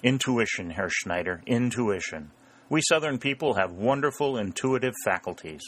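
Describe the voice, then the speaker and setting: A man voicing a brash young rebel soldier in an audiobook, sharp, commanding and wry. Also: medium pitch, expressive, normal speed. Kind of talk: audiobook character voice.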